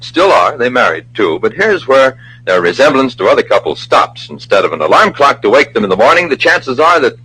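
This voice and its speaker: Bright, animated old-time radio announcer man. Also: mid-range voice, husky, rough recording. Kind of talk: 1940s radio promotional announcing. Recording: old radio broadcast recording.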